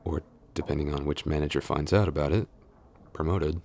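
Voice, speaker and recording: A man's voice: very deep voice, fairly even intonation, a little fast, darkish tone, slight background noise. A man playing a flustered tsundere boyfriend and coworker, grumbling but smitten, in an intimate, hesitant, mock-scolding ASMR audio roleplay. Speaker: voice actor.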